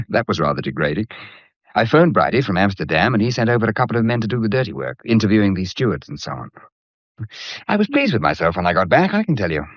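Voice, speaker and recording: An actor playing a wry, self-deprecating English painter in a radio drama, with a breezy, clipped way of talking. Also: male voice, fairly low pitch, very animated, quick pace, no room sound. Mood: breezy, explanatory. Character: wry, self-deprecating painter and amateur sleuth, teasing suitor. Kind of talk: mid-century radio drama acting, conversational. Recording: dull, muffled vintage radio recording.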